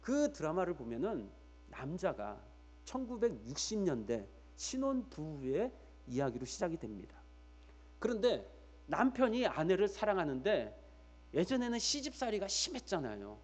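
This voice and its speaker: A man's voice: high voice, wildly swooping pitch, moderate pace, darkish tone. A man preaching a church sermon as pastor, emphatically repeating key phrases and putting rhetorical questions straight to his listeners.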